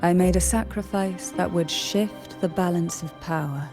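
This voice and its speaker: A woman playing a resolute young cursed maiden warrior in a video game, gentle and gracious, speaking in a measured, earnest, formal way. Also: lowish voice, music in the background, close to an everyday voice. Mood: solemn, explanatory.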